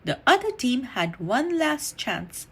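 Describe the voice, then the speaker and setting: A woman reading a children's story aloud in an animated, deliberate storytelling manner. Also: high voice, husky. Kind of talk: expressive read-aloud storytime narration.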